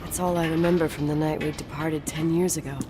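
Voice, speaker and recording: Game lead: actress playing young woman, low, introspective, muttering to herself, clear noise behind. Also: level tone, fast, close to an everyday voice. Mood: subdued, pensive. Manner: subdued, talking to herself. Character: strong-willed young woman returning to her family's hotel.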